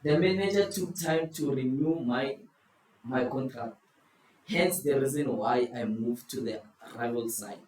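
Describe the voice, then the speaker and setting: A man's voice: mid-range voice, darkish tone, recorded from a distance. A man leads a casual chat quiz and strings out his clues in a playful, teasing way. He sounds set back from the mic in an echoey room with a faint hiss behind him. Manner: playful, drawn-out clue-giving. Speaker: quiz host. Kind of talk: casual group chat, posing football riddles.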